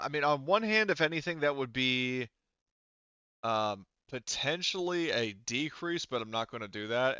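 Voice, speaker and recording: A man explaining rules as game master of a tabletop roleplaying game, haltingly, thinking aloud and correcting himself as he goes. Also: mid-range voice, pushed and bright, clean tone, no echo. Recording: slightly muffled, band-limited voice audio.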